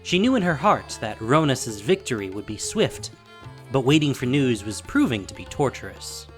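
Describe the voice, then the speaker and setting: A woman narrating a scripted fantasy story in a theatrical, measured, dramatic style. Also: very deep voice, very animated, soft background music.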